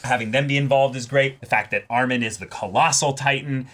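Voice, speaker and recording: A man hosting a casual, excitable reaction show, often repeating his words as he comments. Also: medium pitch, brisk.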